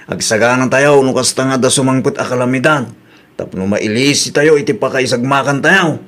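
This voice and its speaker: A man voicing an excited male friend in a village radio-style drama, talking eagerly. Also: medium pitch, moderate pace, natural voice.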